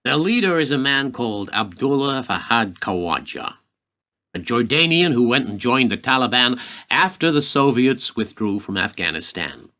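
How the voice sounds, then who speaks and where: Guy doing serious defense secretary in a radio play, formal, sharp edged voice, lots of inflection. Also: mid-range voice, big pitch swings, normal speed. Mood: grave, urgent. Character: male Secretary of Defense, grave, formal, well-informed adviser.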